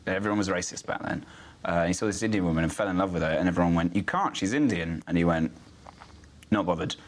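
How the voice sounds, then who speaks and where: A man's voice: deep voice, fast talker. A man who is a comedian, chatting casually, teasing his friend and laughing easily. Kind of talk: casual chat.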